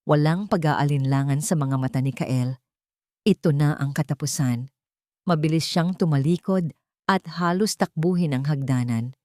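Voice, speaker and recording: Low-voiced Filipino woman narrating, dry sound. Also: normal speed, darkish tone, no room sound. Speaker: story narrator. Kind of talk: dramatic scripted narration of a love story.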